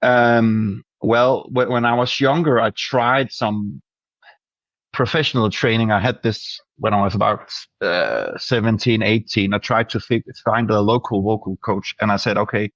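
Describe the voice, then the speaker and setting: A man's voice: fairly low pitch, a little slow, a bit bright, dry recording, muffled recording. A Danish man, a band's singer, answering interview questions hesitantly with many restarts. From Denmark. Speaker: band singer.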